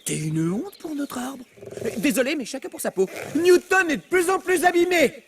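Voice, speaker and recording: An actor playing a vain, snobbish young male apple in an animated film, pompous, disdainful and easily flustered. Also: very high-pitched, moderate pace, a little hiss or hum, full character voice. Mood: scornful. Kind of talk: animated film dub acting.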